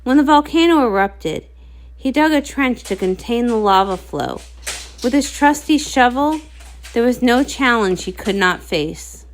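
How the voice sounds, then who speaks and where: A woman reading a scripted storybook aloud as an expressive narrator. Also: on the high side, a little slow, slight background noise. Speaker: read-along narrator.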